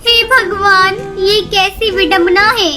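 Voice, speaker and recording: A woman voicing a humble, anxious mother bird with an earnest manner in a Hindi animated story. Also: extremely high pitch, slow pace. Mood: pleading. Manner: pleading, earnest. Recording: loud music behind the voice.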